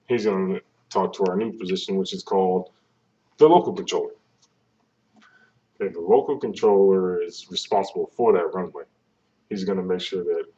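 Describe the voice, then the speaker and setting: A man with a deep, relaxed voice gives an informative talk in plain, conversational language. He explains things step by step. The webcam microphone sounds some distance away from him. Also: muffled recording. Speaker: air traffic control presenter.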